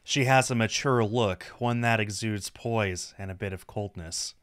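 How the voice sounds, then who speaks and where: A man streaming a visual novel, reading the story aloud as expressive scripted narration. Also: on the low side, unhurried, smooth, no echo.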